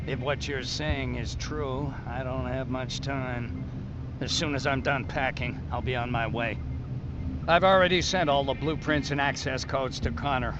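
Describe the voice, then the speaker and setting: An actor playing an excitable hacker in a video game, explaining things emphatically in technical jargon. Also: male voice, mid-range voice, dull audio, background noise, natural voice. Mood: grave. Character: excitable male tech expert and hacker resistance ally. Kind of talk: video game cutscene acting.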